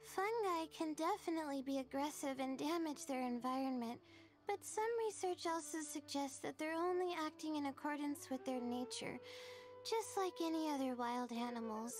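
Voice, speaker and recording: Woman as a high-voiced, earnest young game heroine. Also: normal speed, close to an everyday voice. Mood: explanatory. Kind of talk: video game voice acting.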